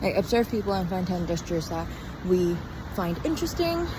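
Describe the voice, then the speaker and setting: A tired young woman podcaster talking casually in a halting, rambling diary style, often trailing off mid-thought. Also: fairly low pitch, big pitch swings. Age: young adult. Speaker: podcast host. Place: outdoors on a walk in a town. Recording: outdoor street noise with passing cars. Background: traffic and urban ambience.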